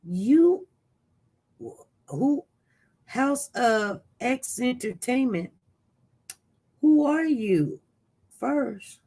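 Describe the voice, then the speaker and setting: A live-stream news host in casual commentary, reading viewer chat aloud and reacting with sudden jumps in pitch and loudness, rambling with frequent restarts. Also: extremely slow, pauses often, slightly rough. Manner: animated, voice jumps up loud when reacting to chat.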